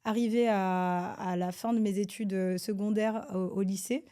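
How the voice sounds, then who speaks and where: A woman from France, a government advisor, answers interview questions in a hesitant, halting way with many filler sounds and restarts. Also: medium pitch, fairly even intonation, dark tone, clear, resonant tone. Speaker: government advisor, podcast guest.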